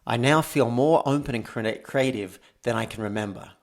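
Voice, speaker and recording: Measured male podcast host and coach. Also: medium pitch, vocal fry. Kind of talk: conversational coaching and show introduction.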